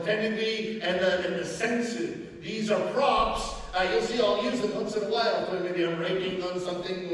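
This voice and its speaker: A man performing comic storytelling live on stage, with playful, deadpan asides to his audience. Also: extremely high pitch. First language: not Japanese. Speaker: rakugo storyteller. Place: large hall with live audience. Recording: echoey hall, mic from far off.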